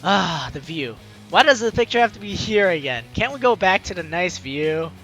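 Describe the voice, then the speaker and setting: A man hosting a game playthrough, chatting in animated asides as he comments and reads along. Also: high voice, moderate pace, soft background music. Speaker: let's play host. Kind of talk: game commentary mixed with reading dialogue aloud.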